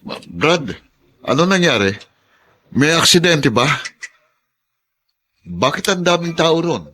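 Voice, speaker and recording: A bright, forceful worried family man. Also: fairly high pitch, sing-song, takes it slowly, exaggerated voice. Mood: curious, concerned. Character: Samuel, worried husband and father driving home. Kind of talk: Filipino radio drama acting.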